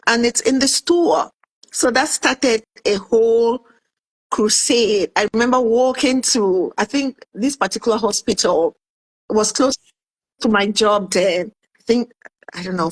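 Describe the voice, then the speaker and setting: Animated storytelling woman from Cameroon. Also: a little high, breathy edge, poor audio. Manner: animated, acts out her own past remarks in quotes. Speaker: guest, author and food entrepreneur. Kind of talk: casual storytelling interview.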